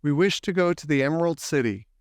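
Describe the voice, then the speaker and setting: Young storybook girl, read by a man: earnest, lifted pitch, clean and close. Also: lively intonation, quick pace, dry recording, natural voice. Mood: polite.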